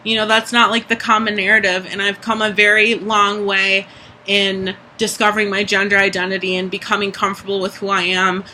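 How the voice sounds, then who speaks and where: Brief: young adult vlogger, high voice, very bright tone, easy conversational delivery, light background hiss. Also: flat delivery, a little fast, poor audio. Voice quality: high speaking voice. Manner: candid, chatty, frequent "like" and "um" fillers. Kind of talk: casual personal vlog update.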